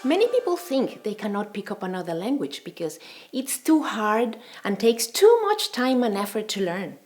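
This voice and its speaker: A woman whose first language is Spanish, speaking English with a Spanish accent as a language teacher in a measured, earnest, encouraging scripted talk. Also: medium pitch, big pitch swings, darkish tone, soft background music.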